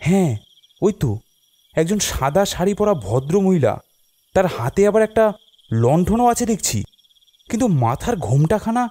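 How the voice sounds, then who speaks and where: A man voicing a curious young nephew who narrates a cartoon adventure with expressive wonder. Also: high-pitched. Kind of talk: animated cartoon storytelling.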